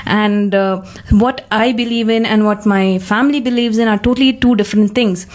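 A woman's voice: medium pitch, steady tone, moderate pace, a little mellow. Young woman, hesitant phrasing. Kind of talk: conversational personal discussion.

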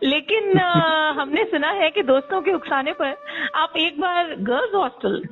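A woman's voice: very high voice, takes it slowly. A woman hosting a radio interview, polished, often affirming her guest. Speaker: radio host. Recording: tinny phone-like radio sound, faint music.

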